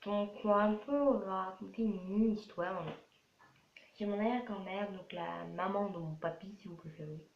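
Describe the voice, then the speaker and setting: A woman's voice: mid-range voice, steady tone, normal speed. A twelve-year-old girl from France chatting casually in a rambling, repetitive way as she answers questions for a vlog. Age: 12 years old. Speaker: young vlogger. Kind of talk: casual FAQ vlog to camera. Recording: roomy echo, dull sound, faint noise.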